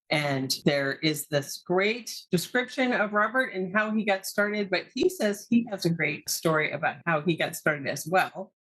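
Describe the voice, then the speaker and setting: Woman session host, relaxed and conversational, introducing the guest. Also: low voice, low-quality recording.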